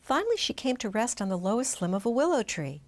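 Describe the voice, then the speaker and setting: Animated woman reading a storybook. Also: a little high, fast. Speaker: storybook reader. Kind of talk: expressive children's read-aloud narration.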